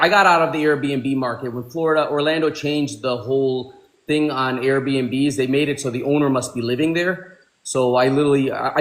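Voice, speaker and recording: A man's voice: mid-range voice, roomy sound, poor audio. A man in his forties, born in Pakistan, hosting a casual livestream chat from a room at home, calling people bro and my man. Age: 40s. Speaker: livestream host.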